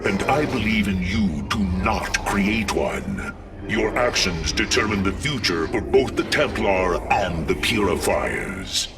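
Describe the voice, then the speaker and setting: Very deep, gravelly robot warrior. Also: male voice, big pitch swings, distant mic, loud music behind the voice, full character voice. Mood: solemn conviction. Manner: formal, deliberate military delivery. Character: resurrected robotic alien warrior, resolute, grave, loyal. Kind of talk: dramatic video game voice acting.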